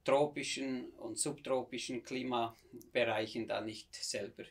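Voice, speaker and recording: Man, fifties, Swiss German accent, missionary guest, measured telling, gravelly voice. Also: medium pitch, steady tone. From Switzerland, near Bern. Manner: measured, reflective storytelling. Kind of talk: conversational interview.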